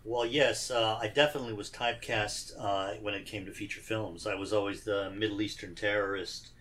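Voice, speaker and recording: A man with a bright, forceful voice talks easily about his acting work. He answers at a relaxed, conversational pace, slipping in dry jokes between fillers. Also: on the low side, brisk. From Iran. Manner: easygoing, dry humor, many fillers. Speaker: actor guest. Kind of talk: casual interview answers.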